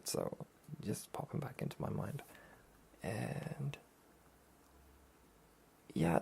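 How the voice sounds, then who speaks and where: Soft-spoken man, very creaky, hesitant. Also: medium pitch. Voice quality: soft, breathy, often near-whisper. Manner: hesitant, rambling, understated. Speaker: host of a soft-spoken anime talk show. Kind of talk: soft-spoken relaxed anime review.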